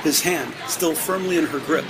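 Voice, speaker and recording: A man's voice: a little high, moderate pace, vocal fry, background noise. A man reading scripted narration for an audio drama.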